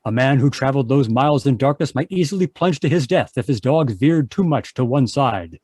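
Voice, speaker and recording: A man's voice: medium pitch, animated, a little fast, a little mellow. A man narrating an old-time radio adventure as a dramatic storyteller.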